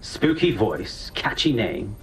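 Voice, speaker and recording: An actor playing a witty, needling sidekick in a game, with a wry, teasing manner. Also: male voice, fairly low pitch, sing-song, slow pace, a bit bright, heavily acted, low-quality recording.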